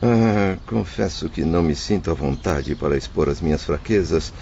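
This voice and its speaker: A remorseful spirit, very deep voice, earnest and sorrowful, lo-fi audio, music behind. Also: male voice, normal speed, vocal fry, warm tone, slight background noise. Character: remorseful male spirit recalling his sorrows. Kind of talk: audio drama narration and dialogue.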